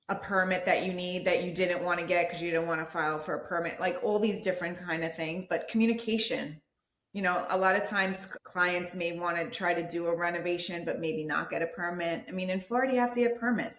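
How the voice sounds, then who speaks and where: A woman speaks as a guest in a relaxed interview and explains points clearly. Her voice sits lowish. The room has a noticeable echo. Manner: agreeable, explanatory. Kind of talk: casual interview chat.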